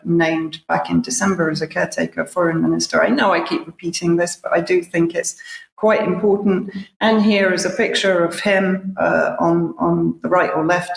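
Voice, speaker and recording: Sceptical woman with a gravelly voice. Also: fairly low pitch. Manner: sceptical, reads quotes aloud with asides. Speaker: news presenter. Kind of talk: commentary with read-out quotes. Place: home room with bookshelves. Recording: echoey room.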